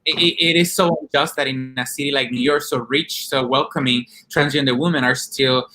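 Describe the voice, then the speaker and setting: A man's voice: mid-range voice, fairly even intonation, brisk, background noise. A man from Rico, answering earnestly as a documentary director and activist in an interview. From Puerto Rico.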